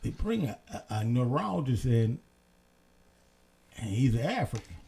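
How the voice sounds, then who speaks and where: A man co-hosting a casual late-night talk show, telling stories in an animated way and swearing freely. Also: mid-range voice, a little slow, creaky. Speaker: co-host.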